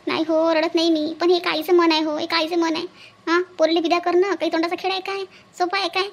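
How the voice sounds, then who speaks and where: A woman's voice: very high-pitched, flat delivery, fast. A woman voicing a doting, grumbling middle-aged village mother in a cartoon family skit, with a Varhadi Marathi accent. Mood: sorrowful, wistful. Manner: homely, scolding and fussing with rhetorical questions.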